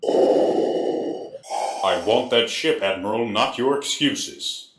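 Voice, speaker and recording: A man voicing a menacing, commanding space villain with slow, stern, imperious delivery. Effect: pitch shift and reverb on some takes. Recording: echoing, reverberant voice.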